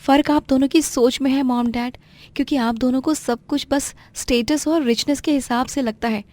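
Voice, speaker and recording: A woman's voice: sits high, fairly even intonation, moderate pace, darkish tone, no room sound, natural voice. A woman playing an earnest, outspoken young rich daughter who mixes English into her Hindi in a cartoon tale. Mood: defiant, hurt. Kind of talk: animated moral-story dramatisation.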